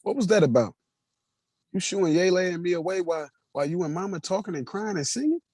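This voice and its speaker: A man's voice: sits high, animated, quick pace, darkish tone, husky, dry recording, studio quality, exaggerated voice. A man reading the part of a concerned brother in a staged play, in a colloquial, pressing manner. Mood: concerned, questioning. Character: concerned brother questioning his sister.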